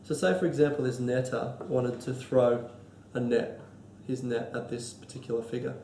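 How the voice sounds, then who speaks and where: An Australian man with a cold and a stuffy nose, explaining board game rules in a halting, casual way full of ums and restarts. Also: medium pitch, fairly even intonation, warm tone, reverberant, slight background noise. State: congested from a cold. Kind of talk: casual tabletop rules walkthrough.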